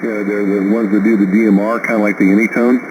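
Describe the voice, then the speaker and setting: A man's voice: fairly low pitch, flat delivery, fast talker. A man chatting casually as an amateur radio operator over shortwave, in an informal, folksy, mildly profane way. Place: two-way radio contact received on shortwave. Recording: noisy shortwave radio transmission with static.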